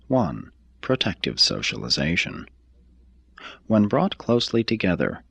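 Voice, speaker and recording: A man reading a formal text aloud as scripted narration, in a measured, deliberate manner. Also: sits low, creaky, breathy edge, dry recording. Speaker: audiobook reader.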